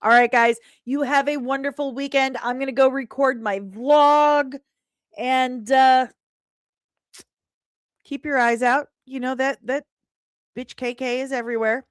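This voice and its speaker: A woman's voice: sits high, steady tone, measured pace, pauses often, clear, resonant tone, no echo, studio quality. A woman hosting a podcast livestream, talking in a casual, chatty and playful way as she addresses her audience. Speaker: podcast host and indie comic creator. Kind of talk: casual livestream sign-off and promotion.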